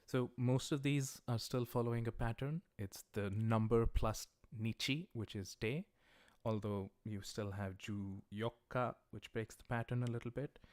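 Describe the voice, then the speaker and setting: A man's voice: mid-range voice, fairly even intonation, darkish tone, no room sound. Patient man teaching Japanese dates. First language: English. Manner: patient, explains step by step, reads viewer questions aloud; slows down to pronounce Japanese words carefully. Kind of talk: livestreamed language lesson.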